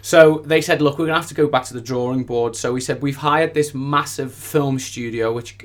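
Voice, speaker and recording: A man's voice: medium pitch, fairly even intonation, moderate pace. A man speaking as a musician guest, telling anecdotes in a casual, gushing, enthusiastic way. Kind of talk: casual anecdotal interview chat.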